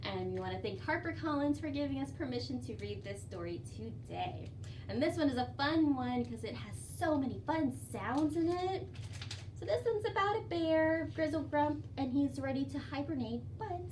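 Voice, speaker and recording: A woman leading a children's storytime as a librarian, with an expressive, sing-song way of addressing young children. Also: sits high, normal speed. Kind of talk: children's storytime with songs, rhymes and read-aloud. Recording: distant mic, faint background noise.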